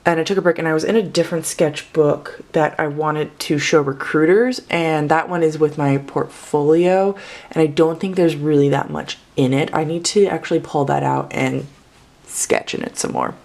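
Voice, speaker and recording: A young woman in her twenties talks in a low voice, with the slightly off sound of someone who is sick. She rambles and corrects herself as she pages through her sketchbook for a casual video. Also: fairly even intonation, moderate pace, creaky, darkish tone. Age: 20s. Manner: chatty, rambling, self-correcting. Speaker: artist vlogger.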